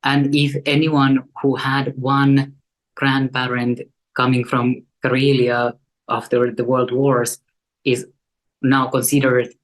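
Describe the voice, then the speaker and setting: A young woman with a Finnish accent and a deep voice, speaking as a guest in an earnest, reflective way. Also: little pitch movement, slow pace, low-quality recording. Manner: earnest and reflective, with light laughs. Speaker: guest artist. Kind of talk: casual interview conversation.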